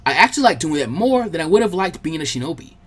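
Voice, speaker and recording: A man voicing a friendly, bookish teenage girl who is chatty, practical and gently bossy, in a story reading. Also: fairly high pitch, sing-song, fast talker, breathy edge.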